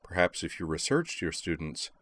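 A man voicing a calm, impassive female robot student in an audiobook, precise, polite and matter-of-fact. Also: sits low, moderate pace, full character voice. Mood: calm, flat.

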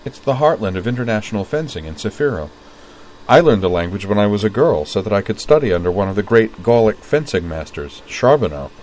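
A man's voice: on the low side, expressive, fairly quick, a little mellow, a little hiss or hum, natural voice, rough recording. A man doing a dry, formal young swordswoman. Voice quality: dry, precise, formal diction. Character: composed young woman, fencer, dry and formal.

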